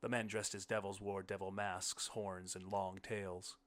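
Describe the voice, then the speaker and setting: A man's voice: low-pitched, flat delivery, unhurried, a bit bright. A man reading a short story aloud as scripted first-person audiobook narration.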